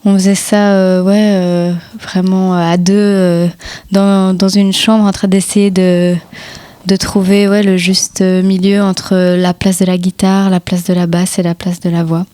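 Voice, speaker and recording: A woman's voice: mid-range voice, level tone, measured pace, mellow, no room sound. A young woman singer, half Italian, chatting in a radio interview, hesitant with many fillers. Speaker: singer in a band. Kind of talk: casual interview chat. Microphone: radio studio microphone.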